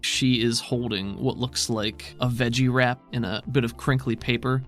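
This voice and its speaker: A man's voice: mid-range voice, flat delivery, normal speed, a bit bright, faint music behind. A man narrating a tabletop game as game master in a casual style.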